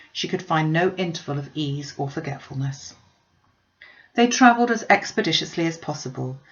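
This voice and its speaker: A woman reading a classic novel aloud as scripted audiobook narration, in an expressive storytelling manner. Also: sits low, lo-fi sound. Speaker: audiobook reader.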